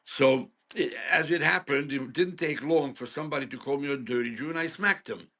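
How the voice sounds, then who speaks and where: A man's voice: on the high side, fairly quick, bright, forceful tone. A man in his seventies from Hungary, speaking, telling stories frankly as a guest in an interview. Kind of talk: storytelling interview.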